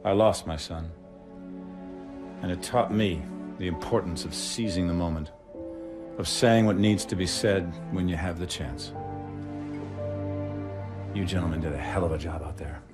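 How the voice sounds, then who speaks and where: A man playing a commanding, fatherly older military chief, speaking in a measured speech in a video game. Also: sits low, music in the background, close to an everyday voice. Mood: solemn, gracious. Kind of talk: video game cutscene acting.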